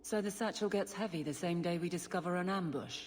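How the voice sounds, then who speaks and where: Actress as principled, blunt guardswoman in a fantasy game. Lower register, resolute, reverberant sound. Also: a little fast, a little hiss or hum, natural voice. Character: stoic, principled, no-nonsense city guardswoman.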